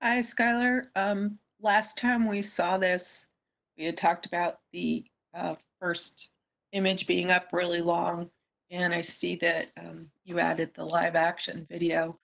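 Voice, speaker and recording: A woman's voice: lowish voice, flat delivery, slow, creaky, a bit bright. A woman on a review panel, asking questions and giving feedback in a conversational style.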